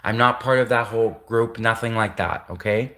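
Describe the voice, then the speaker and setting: A man's voice: lowish voice, normal speed, pushed and bright. A man from Canada with a nasal voice, talking casually to his livestream chat, often restarting and repeating his phrases. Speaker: livestreamer answering chat comments.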